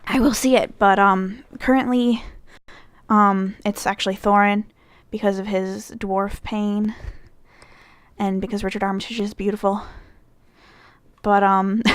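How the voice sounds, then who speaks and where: A woman's voice: medium pitch, no echo. A young woman from Michigan with a Midwestern American accent, chatting casually through a fan questionnaire, rambling with lots of fillers and self-corrections. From Michigan, United States. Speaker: vlogger.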